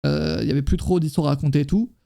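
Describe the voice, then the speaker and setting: A man's voice: on the high side, steady tone, racing, vocal fry, dark tone. A man co-hosting a casual live-stream chat, talking in an informal, slangy way with casual swearing. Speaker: wrestling talk show co-host.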